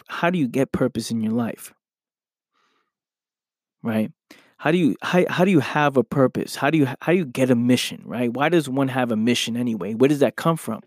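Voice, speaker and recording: Slightly hoarse man, motivational podcast host. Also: mid-range voice, a little mellow, no room sound, clean studio sound. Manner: earnest, repeats "right?" often. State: slightly hoarse from losing his voice. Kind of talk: motivational solo podcast talk.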